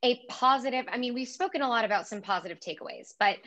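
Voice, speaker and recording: A woman's voice: fairly high pitch, fast, a bit bright. A woman in her thirties hosting an interview over a video call, encouraging and affirming as she asks questions. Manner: encouraging, frequent affirming backchannels. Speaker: interview host. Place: home room with framed pictures. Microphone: laptop camera on video call.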